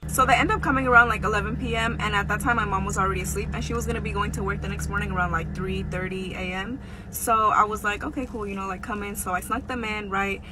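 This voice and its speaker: Young woman storyteller over clear background noise. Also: mid-range voice, steady tone, quick pace. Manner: frequent profanity, slangy and conversational. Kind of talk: casual personal storytime for social video.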